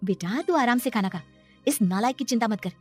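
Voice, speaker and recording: A woman voicing a spiteful, sharp-tongued young stepmother in a cartoon story, scolding and dismissive. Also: a little high, lively intonation, fairly quick, soft background music. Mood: scornful.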